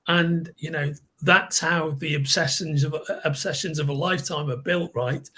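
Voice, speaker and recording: A man in his fifties from with a slightly raspy voice, a podcast guest who rambles and repeats words while he recalls. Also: fairly high pitch, steady tone, normal speed, sharp tone. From Liverpool, England. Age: 50s. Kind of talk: casual podcast chat.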